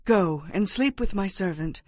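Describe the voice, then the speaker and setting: A woman's voice: mid-range voice, expressive, unhurried, a little mellow, no room sound, studio quality, lightly acted. Woman acting Sarai, resigned childless wife. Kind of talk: dramatized audio Bible acting.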